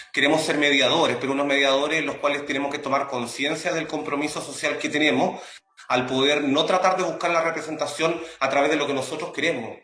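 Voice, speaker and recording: A man's voice: on the high side, bright, forceful tone. A man with a Chilean accent explaining earnestly as an interview guest, often rephrasing, with a roomy, echoing sound. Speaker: academic and radio drama creator, interview guest.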